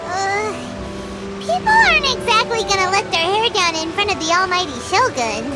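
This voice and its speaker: A woman voicing a small, cheeky childlike fairy companion with a squeaky voice in an anime game. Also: big pitch swings, normal speed. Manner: cheeky, exclamatory, refers to self by name. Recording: loud background music.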